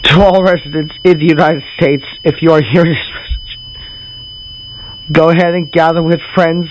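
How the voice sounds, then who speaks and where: Man playing a halting doomsday broadcast official, noisy recording. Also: on the high side, steady tone, close to an everyday voice. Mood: grave, resigned. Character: government representative giving a solemn doomsday farewell broadcast. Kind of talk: emergency broadcast announcement, amateur roleplay. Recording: noisy home recording with hum.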